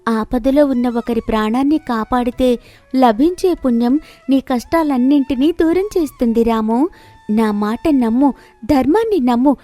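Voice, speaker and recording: Cartoon fable role: woman as enchanted golden fish princess, high voice, tearful and wise, earnest pleas over faint music. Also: moderate pace, warm tone, no room sound, natural voice. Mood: earnest, solemn. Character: pleading golden fish, cursed mermaid princess, gentle and wise. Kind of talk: animated moral story dialogue.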